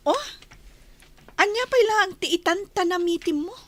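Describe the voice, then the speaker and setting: An actress playing a cold, domineering mistress of a mansion, haughty and menacing, in a radio drama. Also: female voice, very high-pitched, normal speed. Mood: scornful. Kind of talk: Filipino radio drama acting.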